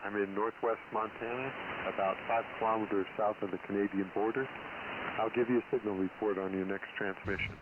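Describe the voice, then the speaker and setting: Thin, noisy radio transmission: older male ham operator, lowish voice, casual on-air exchanges. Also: fairly even intonation, fairly quick. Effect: received over radio. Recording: noisy narrow-band shortwave radio with hiss.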